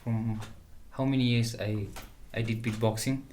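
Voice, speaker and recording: A young man, a guest beatboxer, speaking quietly and hesitantly in a casual chat. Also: on the low side, flat delivery, normal speed, slight background noise. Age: young adult.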